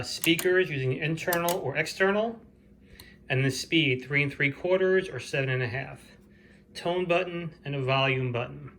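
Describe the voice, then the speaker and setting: Male reseller-vlogger, voice a touch high, casual unscripted show-and-tell, matter-of-fact, noisy home recording. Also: a bit bright. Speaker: flea market reseller hosting own show.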